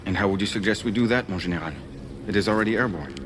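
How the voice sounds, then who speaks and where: A man's voice: on the low side, racing, very noisy, natural voice. An actor playing a teasing French nobleman knight in a video game, with a French accent and flowery, wry phrasing. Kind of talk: dramatic game cutscene acting.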